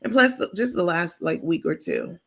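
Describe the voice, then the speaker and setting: A woman with a raspy voice hosting a casual livestream, chatting informally with viewers and reading out their comments. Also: on the low side, wildly swooping pitch, moderate pace, fairly bright tone. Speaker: livestream host.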